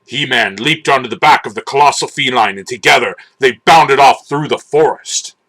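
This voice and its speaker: A man giving a dramatic, theatrical and deliberate scripted narration of a children's storybook. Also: mid-range voice, fairly bright tone, poor audio.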